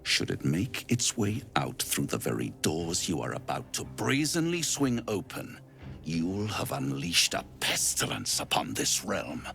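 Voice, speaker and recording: Game villain: urbane infernal schemer, slow and grandly theatrical, with animated swoops and quiet menace. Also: male voice, low-pitched, gravelly, soft background music, heavily acted. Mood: grave warning. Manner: theatrical, silky, verse-quoting, grandiloquent. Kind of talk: video game dramatic acting.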